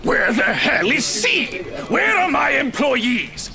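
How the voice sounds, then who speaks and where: A man voicing a furious, foul-mouthed office boss who rants and shouts in a game read-through. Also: very high-pitched, big pitch swings, slow, creaky, music in the background, pitched above the performer's own voice, heavily acted. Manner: shouting, ranting.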